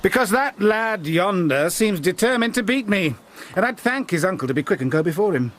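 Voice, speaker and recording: An actor playing a menacing, domineering master of a moorland house, mocking and quick to shout. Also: male voice, high-pitched, very animated. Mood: sardonic. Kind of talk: radio drama acting.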